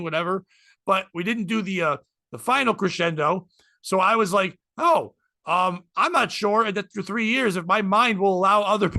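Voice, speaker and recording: A man's voice: sits high, sharp tone, no echo. A man in his forties, a podcast host, chatting casually and candidly, rambling and swearing freely. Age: 40s. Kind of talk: casual chat.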